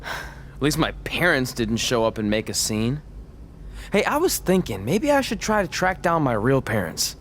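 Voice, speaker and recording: A man's voice: mid-range voice, sing-song, moderate pace, a bit bright, slight background noise. An actor playing an earnest, goofy, supportive young male wrestler in a video game, wry and nerdy. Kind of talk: video game cutscene acting.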